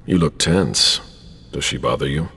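Very deep, gravelly, guarded vampire. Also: measured pace, fairly bright tone, heavily acted. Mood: guarded, probing. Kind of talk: video game voice acting.

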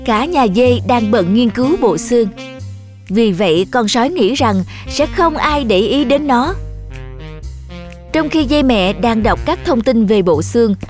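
Female storyteller, fairly high pitch, scripted children's narration, soft music behind. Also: lively intonation, measured pace.

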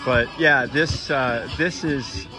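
A man's voice: mid-range voice, slow, over music, poor audio. A man interviewing as a sports reporter outdoors, asking questions in a loose, conversational way. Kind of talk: casual post-match interview questions. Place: outdoor stadium trackside.

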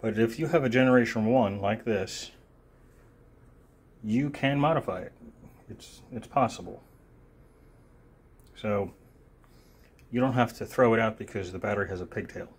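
Low-voiced man giving a casual demo. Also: husky. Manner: offhand, halting, thinking aloud. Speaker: presenter of a gadget demonstration. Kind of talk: casual unscripted product demo. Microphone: phone held near the hands filming.

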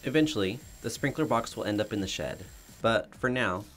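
A man's voice: on the low side, lively intonation, brisk. A man, narrating his backyard renovation in a plain, matter-of-fact voice-over. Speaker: DIY home renovation vlogger. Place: voice-over, not recorded in the pictured yard. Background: soft background music.

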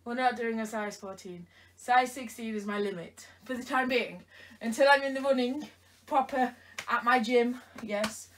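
A woman's voice: on the high side, moderate pace, fairly bright tone. Playful woman, tired, from across a bedroom. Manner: playful, talks to someone off camera, repeats herself teasingly. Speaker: vlogger. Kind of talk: chatty try-on vlog. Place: bedroom indoors. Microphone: camera across the room. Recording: voice from a distance.

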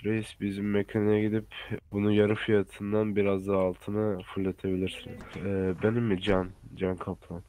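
A man's voice: lowish voice, completely flat delivery, moderate pace, mellow. A man chatting as a car dealer character in an online role-play game.

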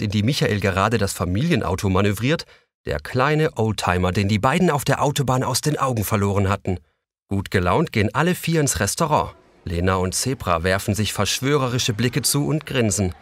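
A man with a fairly low voice serves as the narrator of an audio play for children. He reads the scripted story as a storyteller. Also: fairly bright tone, no echo.

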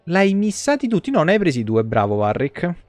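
A man's voice: a little high, huge pitch swings, brisk, faint music behind. A man in his thirties with an Italian accent doing casual, colloquial live gameplay commentary, swearing now and then. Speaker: video game streamer. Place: small indoor room.

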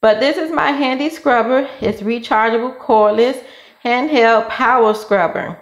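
A woman with a Southern US accent talks in a fairly high voice. She is informal and conversational, like a vlogger showing a product at home. The sound is roomy and echoing, recorded on a phone in a hard-walled bathroom. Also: a little slow, muffled recording. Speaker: vlogger reviewing a cleaning gadget. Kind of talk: casual product review chat.

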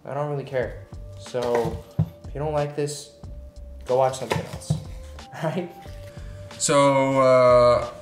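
A young man chatting casually while he cooks at home, thinking aloud and poking fun at his own cooking. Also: medium pitch. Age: young adult. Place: home kitchen, indoors. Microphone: camera mic at a distance. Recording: echoey kitchen with frying noise. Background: sizzling pan and background music.

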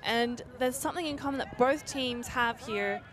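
Bright-voiced woman, joking co-commentator, crowd behind. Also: a little high, moderate pace. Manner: light, joking color commentary. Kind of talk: live sports commentary. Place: outdoor tournament field.

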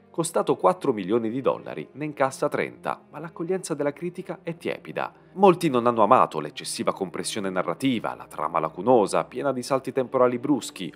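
A man narrating a scripted video essay with emphatic, dramatic phrasing. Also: medium pitch. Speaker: narrator and channel host. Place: voice-over recording. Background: background music.

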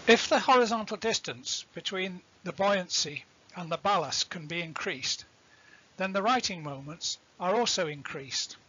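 A man giving an explanatory lecture as an instructor, deliberate and didactic, defining terms as he goes. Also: high-pitched, expressive, sharp tone, husky, muffled recording. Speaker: instructor presenting a sailing tutorial.

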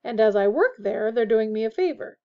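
A woman's voice: a little high, lively intonation, a little fast. An earnest storybook father, acted by a woman narrator. He is middle-aged, protective and insistent. The sound is a touch dull. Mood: casual.

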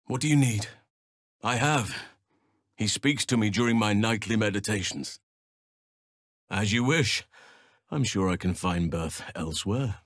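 An actor playing a gentle, solemn male elf druid healer in a video game, speaking in earnest, measured, formal phrases. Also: on the low side, dry recording, studio quality. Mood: calm, earnest. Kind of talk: video game character voice lines.

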